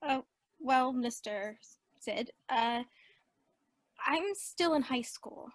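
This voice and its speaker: A woman's voice: high-pitched, slow pace, dry recording. An actress in a radio play as an earnest, eager eighteen-year-old girl who dreams of singing. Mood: nervous, eager. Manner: earnest, youthful.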